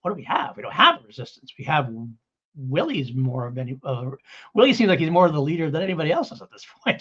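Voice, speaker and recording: Male podcast co-host, very lively intonation, slightly husky, rambling and keen, on a somewhat dull lo-fi recording. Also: mid-range voice. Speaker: podcast co-host reviewing audio dramas. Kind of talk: casual review chat.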